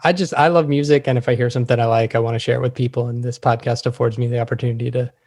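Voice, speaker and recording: A man's voice: medium pitch, steady tone, racing, a little mellow. A man hosting a podcast in a casual, conversational interview style, easygoing and to the point. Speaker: podcast host.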